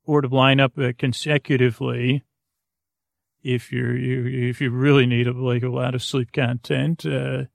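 A man with a creaky voice hosting a sleep podcast, wandering through a soothing, hesitant monologue full of tangents. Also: medium pitch, flat delivery, measured pace, no room sound, clean studio sound. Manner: meandering, hesitant, full of tangents and trailing restarts; soothing, lulling. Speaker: podcast host. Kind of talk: rambling bedtime monologue to lull listeners to sleep.

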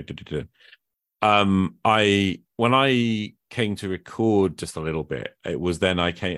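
A man's voice: low voice, fairly bright tone, no echo, studio quality. A man hosting a conversational podcast interview, reflective and meandering as he works his way toward his questions. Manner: reflective, meandering questions with brief listening murmurs. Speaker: podcast host.

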